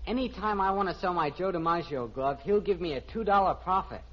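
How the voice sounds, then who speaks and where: A dull old radio recording features a boyish American teenager. He is high-voiced, eager and chatty in fifties sitcom manner. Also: fairly quick. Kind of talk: 1950s radio sitcom acting.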